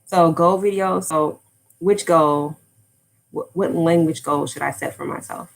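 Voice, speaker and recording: Low-voiced rambling American woman. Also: steady tone, a little slow, vocal fry. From United States. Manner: rambling, drifts off topic, frequent fillers. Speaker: language-learning YouTuber. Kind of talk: casual livestream chat.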